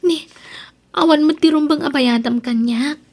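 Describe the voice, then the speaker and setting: A woman's voice: sits high, measured pace, gravelly. A woman acting as an honest, principled young daughter in an Ilocano radio drama, earnest and pleading, with an Ilocano accent. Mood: earnest refusal. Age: young adult.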